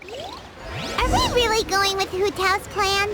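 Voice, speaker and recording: A woman's voice: extremely high pitch, sing-song, slow, soft background music, heavily acted. A woman voicing a tiny, chirpy female fairy companion who questions everything and refers to herself in the third person, in a game cutscene. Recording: loud background noise.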